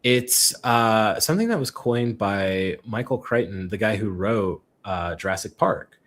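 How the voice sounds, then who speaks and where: A man with a somewhat low voice, speaking as a guest on a tech live stream. He explains casually with plenty of fillers and acts out quotes. His delivery is conversational, not scripted. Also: measured pace. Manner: relaxed, filler-heavy explaining with acted-out quotes. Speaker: guest expert.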